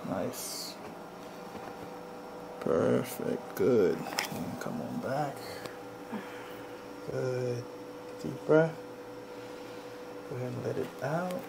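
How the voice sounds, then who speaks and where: A male chiropractor with a gravelly, mid-pitched voice talks a client through bodywork. His cues are short, repeated and reassuring. The small treatment room recording carries clear background noise. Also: very animated, extremely slow, pauses often. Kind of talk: hands-on instruction to a client, ASMR bodywork session.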